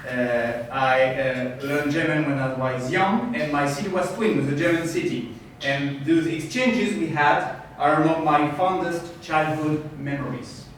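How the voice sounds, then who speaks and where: Male interpreter, brisk and plain; distant mic, big echo, faint noise. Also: fairly high pitch. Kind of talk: consecutive interpreting into English. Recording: echoey room from far off.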